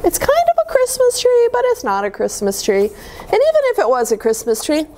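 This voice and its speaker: A woman reading picture books aloud to young children, with expressive, deliberate phrasing and chatty asides to her listeners. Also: extremely high pitch, a little hiss or hum. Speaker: children's story-time reader at a church.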